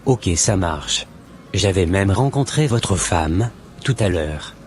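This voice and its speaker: Low-voiced devout man, acted by a man, measured. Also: lively intonation, a little hiss or hum. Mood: calm, admonishing. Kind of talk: scripted cartoon dialogue.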